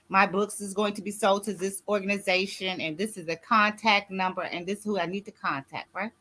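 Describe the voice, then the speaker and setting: A woman's voice: mid-range voice, pushed and bright. Emphatic woman in her sixties giving motivational talk. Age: 60s. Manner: emphatic, exhorting, often checking listeners follow. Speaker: host and author mentoring co-authors. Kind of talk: motivational conversational radio talk.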